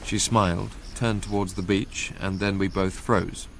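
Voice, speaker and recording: An actor playing a young Englishman who recounts his past in a measured, literary way for a radio drama. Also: deep voice, flat delivery, creaky, fairly bright tone, slight background noise, exaggerated voice. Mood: measured recollection. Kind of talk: radio drama first-person narration.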